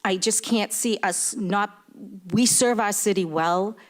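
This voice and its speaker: A woman's voice: mid-range voice, slow pace. A woman in her fifties speaking as a city councilor at a meeting, plain-spoken and arguing her point. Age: 50s. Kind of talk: formal remarks at a council meeting. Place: indoor public council meeting room.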